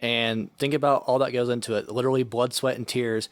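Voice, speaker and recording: A man's voice: medium pitch, fairly even intonation, a little fast, clean tone, dry recording, clean studio sound. Wry casual man on a podcast. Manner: wry, says "bro" and "man". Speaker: podcast co-host. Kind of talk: casual chat.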